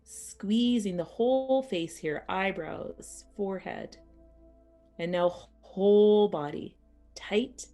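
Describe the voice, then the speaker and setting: A woman teaching yoga nidra as a guided meditation, speaking soothingly and unhurriedly in short phrases with pauses. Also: medium pitch, mellow, faint music behind.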